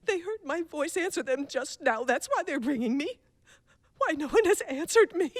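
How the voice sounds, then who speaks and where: A woman's voice: high-pitched, sing-song, normal speed, no room sound, studio quality. A woman playing an imperious, self-pitying bedridden woman on the edge of hysteria in a radio thriller. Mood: frightened, fretful. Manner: imperious, hysterical, haughty, breathless pleading. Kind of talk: old radio thriller acting.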